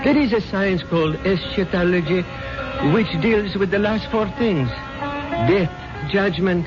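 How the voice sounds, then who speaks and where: An actor playing an impressive, solemn spiritual preacher, delivering grandiose measured oratory in a 1970s radio drama. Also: male voice, sits high. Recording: dull 1970s radio broadcast recording.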